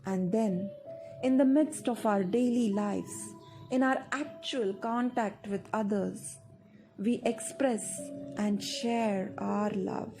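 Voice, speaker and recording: A woman with an Indian accent narrates a scripted guided meditation in measured, deliberate phrases with pauses. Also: mid-range voice, low-quality recording. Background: music.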